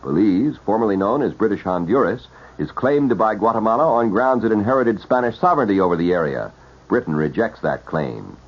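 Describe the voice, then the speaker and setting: Male 1970s radio news anchor, slightly rough husky tone, formal measured read, muffled vintage broadcast sound. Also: fairly low pitch, animated. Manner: formal, measured announcer delivery. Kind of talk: 1970s scripted radio newscast.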